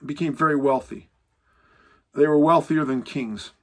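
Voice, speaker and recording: Older guy lecturing from his bookshelf study, animated, goes up in pitch when he stresses stuff. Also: unhurried, husky. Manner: emphatic, pitch rising when stressing lists; animated, gesturing with both hands. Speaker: Bible teacher. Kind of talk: lecture-style teaching to camera. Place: home study lined with bookshelves, indoors. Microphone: seated close to a fixed camera.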